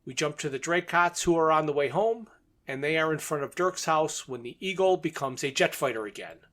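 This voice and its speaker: A man's voice: a little high, lively intonation, brisk, fairly bright tone. A man co-hosting a podcast, recapping and commenting on a story in an informal, orderly way. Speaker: podcast co-host. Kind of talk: plot recap in casual podcast talk.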